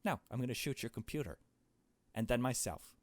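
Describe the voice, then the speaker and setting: A man's voice: medium pitch, a little fast, dry recording, natural voice. A man voicing an anxious young woman heroine in a visual novel, hesitant and earnest, with a distressed edge. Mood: shaky defiance.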